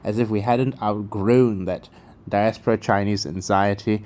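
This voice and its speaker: A man's voice: on the low side, steady tone, a little slow, darkish tone, distant mic. A man from Malaysia giving an opinionated video commentary, emphatic and impassioned, with sardonic asides. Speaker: cultural commentator. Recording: echoey room.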